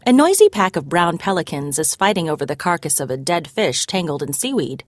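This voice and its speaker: A woman narrating a children's story aloud in an expressive, measured way. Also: fairly low pitch, no echo, clean studio sound.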